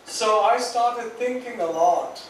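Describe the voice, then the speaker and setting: A man from Goa recalling his upbringing on a panel, speaking slowly and reflectively with frequent pauses. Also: extremely high pitch. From Goa, India. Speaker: panel guest, author. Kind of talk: recounting memories on a panel. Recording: distant mic in echoey hall, faint noise.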